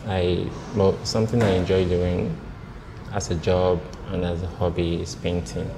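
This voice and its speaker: A Nigerian man in his thirties on a blind date, talking in a hesitant, halting way with many restarts. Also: sits low, little pitch movement, vocal fry, long reverb tail, background noise. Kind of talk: casual conversation. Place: indoor restaurant with large windows. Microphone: lapel mic.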